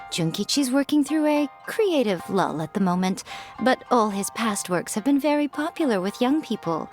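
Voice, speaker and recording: Sly poised fox lady boss from a game, highish voice that swings around, teasing, measured. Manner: teasing, self-assured, measured. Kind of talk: video game voice acting.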